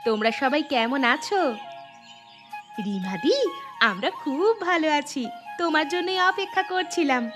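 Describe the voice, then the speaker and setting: A kind, chatty village housewife from a cartoon , acted by a woman. Her voice sits high and her delivery is expressive and homely. Music plays clearly behind her. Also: unhurried, long pauses. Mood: chatty, friendly. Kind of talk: Bengali cartoon voice acting, dialogue.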